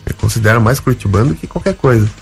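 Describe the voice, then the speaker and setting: Brief: male guest, gaúcho accent, gravelly, lively anecdotes with voiced-out dialogue. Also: mid-range voice, very fast, soft background music. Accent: Gaúcho Brazilian Portuguese. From Rio Grande do Sul, Brazil. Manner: animated, acts out quoted dialogue. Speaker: interview guest, comedian. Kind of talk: casual storytelling.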